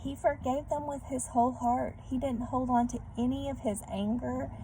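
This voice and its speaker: A woman reading a storybook aloud to children in a gentle manner, pausing to put questions to her young listeners. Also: sits high, fairly even intonation, rough recording. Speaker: read-aloud host for children. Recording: background noise.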